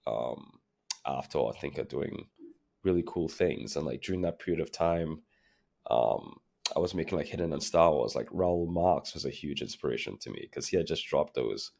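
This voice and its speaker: Low, gravelly male guest from Trinidad and Tobago; relaxed, reflective, filler-heavy delivery. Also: moderate pace. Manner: relaxed, reflective, many fillers like "like" and "you know". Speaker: guest, creative director. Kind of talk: casual interview conversation.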